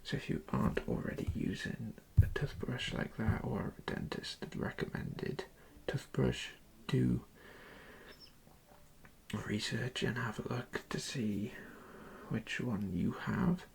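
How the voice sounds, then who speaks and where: A person doing an ASMR dentist roleplay in a soft, breathy, creaky whisper, giving calm and reassuring instructions to a patient. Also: mid-range voice, slow pace, slight background noise.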